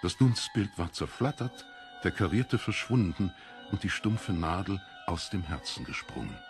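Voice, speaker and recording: Ein Mann liest als Hörbucherzähler einen literarischen Text, mit rauer Stimme und bedachter, dramatischer Phrasierung. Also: male voice, deep voice, expressive, measured pace, music in the background. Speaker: audiobook narrator. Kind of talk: scripted literary narration.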